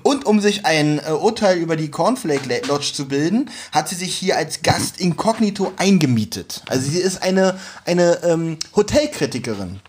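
A man's voice: on the high side, very animated, moderate pace, hardly any pauses, slightly rough. A man, co-hosting a podcast in casual chat and retelling a story. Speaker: podcast co-host.

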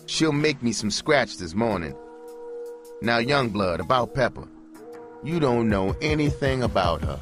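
A man playing a cold, hard older pimp who lectures in gruff street slang. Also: medium pitch, big pitch swings, measured pace, voice pushed up. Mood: calm. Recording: music bed behind the voice.

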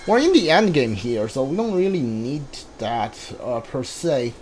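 A man's voice: mid-range voice, big pitch swings, measured pace, a little mellow, slight background noise. A man doing casual video game playthrough commentary, reading on-screen text aloud and mumbling to himself as he thinks. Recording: game music behind the voice. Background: video game music.

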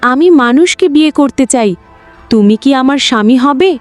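A woman's voice: sits high, lively intonation, moderate pace, dry recording, faint music behind. A woman voicing an eerie female ghost bride who pleads sweetly and plaintively to marry, in a storybook horror tale. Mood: sweet, longing.

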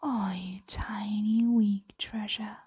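A woman playing a possessive, teasing ancient dragon in a whispered ASMR roleplay, breathy, lingering, doting and predatory. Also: mid-range voice, steady tone, takes it slowly, darkish tone, dry recording. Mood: soothing, condescending.

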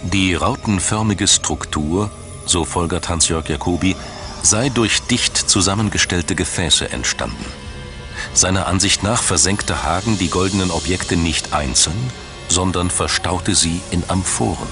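A man's voice: low voice, a little slow. A man reading scripted documentary narration as a studio voice-over. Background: music.

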